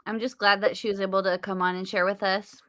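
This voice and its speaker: Bright-voiced woman co-hosting a stream. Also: mid-range voice, flat delivery, quick pace, dry recording, clean studio sound. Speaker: co-host. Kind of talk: casual livestream chat.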